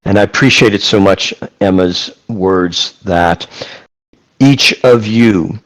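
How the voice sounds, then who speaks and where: A man with a lowish voice runs an online class over a video call. He reads chat questions aloud and heaps repeated praise on them. His delivery is effusive and a little wordy. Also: slow pace, a bit bright, poor audio. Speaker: class host and moderator.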